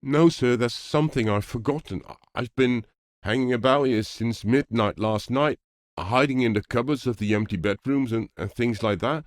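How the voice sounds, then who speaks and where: A man's voice: mid-range voice, no echo, clean studio sound, voice pushed up, natural voice. A man voicing the abashed, awkward ghost of a weak young man in an audiobook, hesitant and apologetic.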